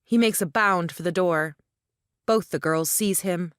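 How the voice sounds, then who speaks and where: A woman's voice: on the low side, measured pace, clear, resonant tone, no room sound, studio quality. A woman narrator reading scripted stage directions for a play.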